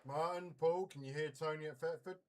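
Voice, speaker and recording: A man's voice: fairly high pitch, fairly even intonation, fairly quick. A man hosting a casual CB radio net, chatting in a friendly, informal way. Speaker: CB radio net controller and live stream host. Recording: very noisy CB radio live stream.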